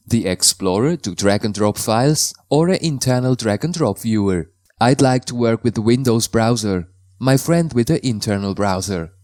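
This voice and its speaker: A man from Switzerland, a native Swiss German speaker with a Swiss German accent, calmly explaining how to install and use software in a tutorial voice-over, with slightly non-native phrasing. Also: medium pitch, no room sound, studio quality. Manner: careful, plain instructional delivery in slightly non-native English.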